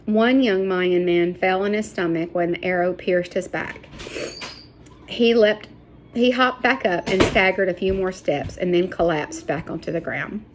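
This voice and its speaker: A woman reading a children's novel aloud as an expressive storyteller. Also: fairly low pitch, unhurried, noisy background. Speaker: storybook reader. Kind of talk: scripted narration, children's book read aloud.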